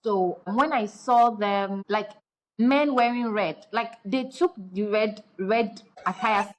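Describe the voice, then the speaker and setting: A young woman from Nigeria and Ghana, talking as a guest on a podcast. She is laid-back and wry and often laughs at herself. Her pitch sits in the middle of the range. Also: takes it slowly, poor audio. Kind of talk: casual chat.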